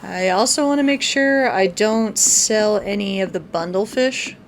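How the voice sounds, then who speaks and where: A woman's voice: medium pitch, unhurried, a little hiss or hum. A woman hosting a casual let's play, explaining her plans step by step as she goes. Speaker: gaming video host. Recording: music playing behind. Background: game music.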